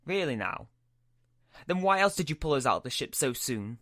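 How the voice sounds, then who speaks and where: A man's voice: fairly high pitch, very animated, moderate pace, sharp tone, no echo. A man acting as an arrogant, menacing Sith master in a sci-fi roleplay, with a threatening, imperious manner. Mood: angry, threatening. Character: arrogant, hot-tempered Sith lord master, menacing and domineering.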